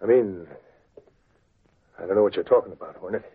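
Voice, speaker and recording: An actor playing a shifty, defiant small-time crook in an old radio drama, slangy and blustering. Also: male voice, low-pitched, fairly quick. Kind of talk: 1940s radio drama acting. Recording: tinny, scratchy old radio recording.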